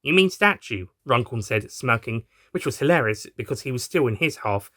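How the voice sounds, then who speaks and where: A man reading a scripted story aloud as an expressive narrator. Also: medium pitch, a little fast, no echo, studio quality. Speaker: narrator and reader.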